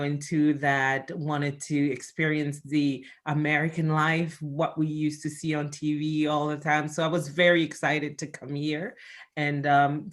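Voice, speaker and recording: Middle-aged woman guest, born in Congo and raised in Belgium, chatty with many fillers. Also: sits low. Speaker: interview guest. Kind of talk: casual interview answers.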